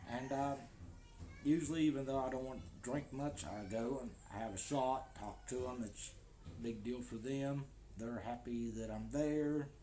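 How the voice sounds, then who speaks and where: A man's voice: medium pitch, steady tone, moderate pace, mellow. An American man in casual vlog talk to the camera, easygoing and joking, recorded at his home in the Philippines. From United States. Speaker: vlogger.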